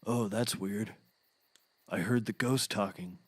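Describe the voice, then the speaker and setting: An actor playing an earnest paramedic in a scripted comedy, speaking with deadpan concern. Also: male voice, lowish voice, slow pace, slightly rough, no echo. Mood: concerned, puzzled. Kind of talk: scripted comedy audio acting.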